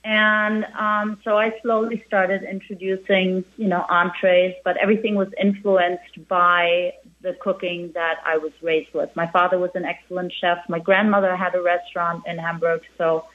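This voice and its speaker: A woman's voice: fairly low pitch, flat delivery, moderate pace, few pauses. A middle-aged woman from Germany, a German native speaker and restaurant owner, chatting as an interview guest with many restarts and "you know"s. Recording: phone-like narrow band.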